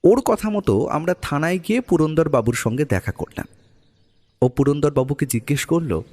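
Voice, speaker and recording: An actor playing a detective's eager companion, a man with a husky voice, narrating their case expressively in an audio story. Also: on the high side, lively intonation, normal speed, dark tone, no echo. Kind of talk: dramatised first-person story narration.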